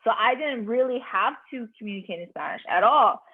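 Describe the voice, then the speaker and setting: A woman's voice: a little high, animated, fairly quick, slightly rough. Teacher lady from the rio grande valley, casual, lots of "like", sounds like a phone line. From Rio Grande Valley, Texas. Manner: casual, filler-heavy storytelling. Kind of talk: casual class discussion.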